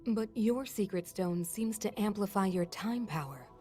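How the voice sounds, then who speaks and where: An actress playing a learned, scholarly non-human sage and older sister, explaining in a measured, thoughtful way. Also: female voice, mid-range voice, animated, dark tone, faint music behind, lightly acted. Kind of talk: game cutscene voice acting.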